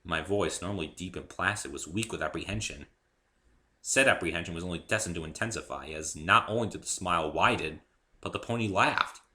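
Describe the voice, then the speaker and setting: Very bright-voiced man reading a story dramatically. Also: low voice, fairly quick. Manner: theatrical, emphatic. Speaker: author reading own story. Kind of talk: dramatic first-person story narration.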